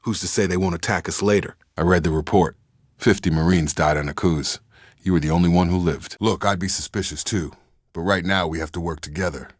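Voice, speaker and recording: A man's voice: low-pitched, a little fast, no room sound, clean studio sound. A man playing a loyal, guarded young soldier in a sci-fi video game, speaking in an earnest, controlled, matter-of-fact way. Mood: firm, frank.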